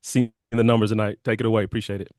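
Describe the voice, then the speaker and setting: A man presenting an investment opportunity on a video call, losing his voice and clearing his throat often. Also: on the low side, flat delivery, rapid-fire, a little mellow. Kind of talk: upbeat sales pitch on a video call.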